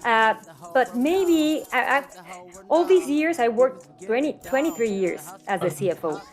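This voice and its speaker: High-voiced middle-aged Mexican woman telling stories. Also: lively intonation, a little slow, faint music behind. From Mexico City, Mexico. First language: Spanish. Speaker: interview guest, health coach. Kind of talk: casual personal storytelling.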